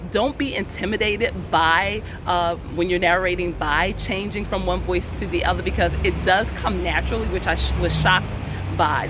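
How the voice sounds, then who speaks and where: A man who runs a channel as an indie author, giving casual advice with frequent "uh" pauses. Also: high-pitched. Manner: relaxed, many "uh" pauses, repeats himself. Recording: noisy recording with traffic outside.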